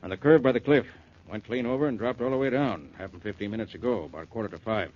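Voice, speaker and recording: Actor as folksy police chief, muffled radio sound. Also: male voice, fairly low pitch, quick pace, natural voice. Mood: reporting. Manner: plain-spoken, clipped folksy phrasing. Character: folksy, unruffled small-town police chief. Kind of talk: 1940s radio drama acting. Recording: muffled 1940s radio recording.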